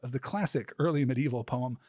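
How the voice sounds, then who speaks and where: A man hosting a solo podcast in a scripted, articulate and erudite style, speaking with earnest enthusiasm and deliberate emphatic pauses. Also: medium pitch, darkish tone, no echo, clean studio sound. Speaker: podcast host.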